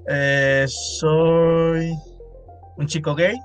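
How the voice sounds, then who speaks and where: A man in his thirties from Mexico with a Mexican Spanish accent, a guest on a podcast, speaking in a measured way. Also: a little high, flat delivery, soft background music. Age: 30s. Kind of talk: casual podcast conversation.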